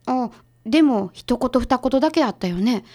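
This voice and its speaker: A woman playing a brisk, sharp detective's assistant in a radio drama, speaking casually and decisively with a Kansai accent. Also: on the high side, animated. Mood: brisk, curious.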